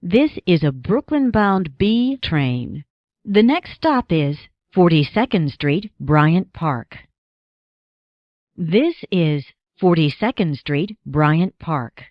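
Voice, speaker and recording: An American woman reading scripted subway announcements as an automated announcer, in measured, segmented phrases. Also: on the low side, sing-song, no echo, dull audio.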